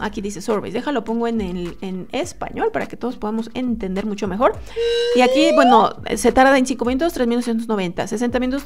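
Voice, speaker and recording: A woman in her thirties talking casually to a webcam, walking viewers through websites with lots of fillers and restarts. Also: on the high side. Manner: chatty, animated, many fillers and restarts. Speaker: online money-making vlogger. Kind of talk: casual screen-share tutorial. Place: indoors at a desk, webcam.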